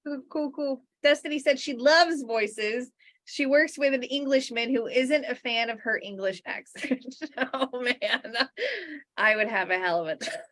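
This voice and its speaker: Woman, livestream co-host, gushing, giggly, easily sidetracked, high-ish voice, dry close sound. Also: measured pace, a bit bright, no echo. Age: adult sister of co-host. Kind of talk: casual chat, reviewing books.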